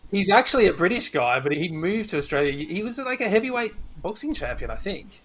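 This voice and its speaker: A man's voice: high voice, sing-song, a little fast, fairly bright tone. A man hosting a casual, chatty film discussion. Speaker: podcast host.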